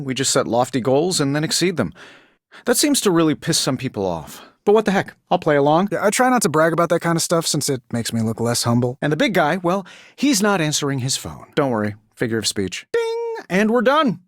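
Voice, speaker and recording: An actor playing a cocky, quippy superhero inventor in a video game, glib and sarcastic. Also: male voice, on the high side, dry recording, studio quality. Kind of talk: video game voice acting, scripted quips.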